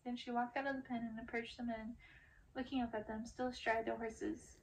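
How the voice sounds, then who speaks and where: A woman narrates a storybook in an ASMR style. Her voice is on the high side with plenty of creak, picked up at some distance with faint background noise. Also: flat delivery, a little fast. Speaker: narrator reading a book aloud.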